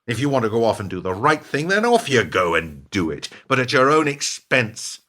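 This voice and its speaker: Ruthless middle-aged businessman for radio play, male actor, smug and speechifying, very animated delivery, sharp-edged tone. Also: medium pitch, moderate pace. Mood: cold, defiant. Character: ruthless, self-justifying businessman betraying his lifelong partner.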